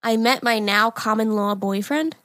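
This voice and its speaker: A woman's voice: fairly high pitch, normal speed, fairly bright tone, dry recording, studio quality. A young American woman co-hosting a casual podcast, talking in a blunt, feisty, dramatic way. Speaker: podcast co-host. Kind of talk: casual chat.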